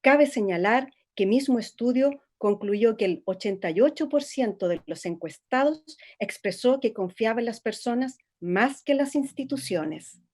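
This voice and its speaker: An actress playing a government official, reading a scripted statement in a formal, measured way. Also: female voice, a little high, expressive, a little mellow. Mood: formal, informative. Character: female government innovation official giving a recorded statement. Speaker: executive secretary.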